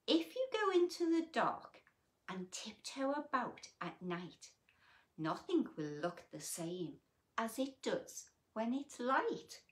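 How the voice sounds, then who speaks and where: A woman reading a children's picture book aloud as a story host, expressively, with hushed asides and questions to her young listeners. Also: lowish voice.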